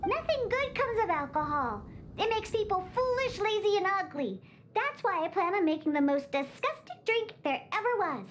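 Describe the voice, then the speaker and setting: A woman's voice: extremely high pitch, big pitch swings, normal speed, a little hiss or hum. An actress playing a feisty young cat-girl bartender in a video game, with a cutesy, bossy, indignant manner. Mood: indignant, determined. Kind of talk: video game character acting.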